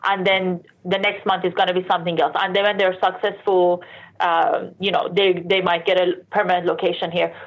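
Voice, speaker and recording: A woman's voice: fairly low pitch, level tone, fairly quick. A woman from Iran who later moved to Canada, answering interview questions casually and conversationally, with explanations, asides and self-corrections. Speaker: interview guest, sports industry professional. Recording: dull, lo-fi band-limited sound.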